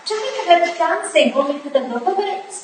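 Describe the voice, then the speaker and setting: An earnest young Victorian protégée played by a woman talks in a very high, polite, tentative voice. It is a period stage reading, caught from a distance in a very echoey room with faint noise. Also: lively intonation, fairly quick. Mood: wondering, uncertain.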